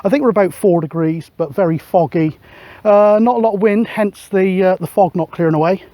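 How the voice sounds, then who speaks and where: A man's voice: sits high, a little fast, warm tone, dry recording. A middle-aged man, chatting casually and matily as he vlogs outdoors. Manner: chatty, matey. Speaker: vlogger and CB radio hobbyist. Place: outdoors on a windy hill. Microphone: camera microphone.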